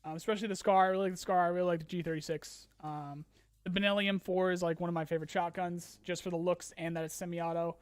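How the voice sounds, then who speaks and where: A man hosting a casual live-stream Q&A, rambling with many ums and restarts while his voice grows hoarse. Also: high voice, flat delivery, fast talker, dry recording. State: losing his voice, clearing throat. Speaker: streamer host answering chat questions.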